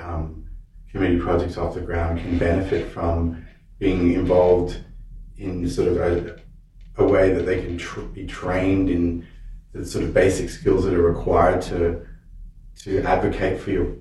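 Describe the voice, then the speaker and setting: A man's voice: deep voice, dark tone, recorded from a distance. A man hosting a podcast, asking questions conversationally and rephrasing as he goes. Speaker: podcast host. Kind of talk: conversational interview. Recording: very echoey room, off mic.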